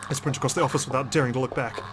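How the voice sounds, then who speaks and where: A man's voice: mid-range voice, steady tone, rapid-fire. A man hosting a game playthrough, reading narration aloud expressively and dramatically. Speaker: gaming video host. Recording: game music behind the voice.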